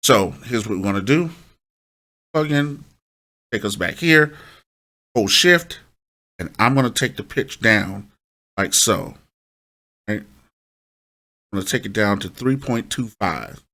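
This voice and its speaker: Bright-voiced man teaching, lowish pitch. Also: expressive, slow pace, pauses often. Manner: instructional, often checks in with short tag questions. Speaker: host of a music production tutorial. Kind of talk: informal step-by-step tutorial.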